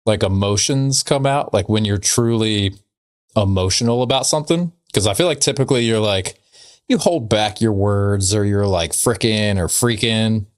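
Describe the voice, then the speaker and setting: Fairly low-voiced man bantering casually. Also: normal speed. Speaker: podcast co-host.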